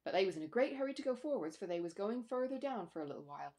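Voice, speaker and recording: A woman's voice: medium pitch, very animated, rapid-fire, warm tone. A talkative male servant from the countryside, acted out by a woman in a storybook. He is respectful and rambles on in homely, ungrammatical country speech. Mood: earnest, deferential. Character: deferential, talkative rustic manservant, male. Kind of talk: storybook character dialogue.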